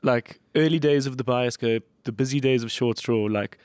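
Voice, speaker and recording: A middle-aged South African man hosts a casual chat in a close, dry recording. His voice is slightly croaky and sits at a medium pitch. He tells anecdotes in a self-deprecating way. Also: normal speed, darkish tone, no room sound. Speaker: podcast host.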